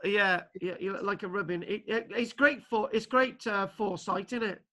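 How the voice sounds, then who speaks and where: High, bright-voiced man leading an online lecture. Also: fairly even intonation, normal speed. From Wales. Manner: stammering, repeats words, many fillers. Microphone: video call.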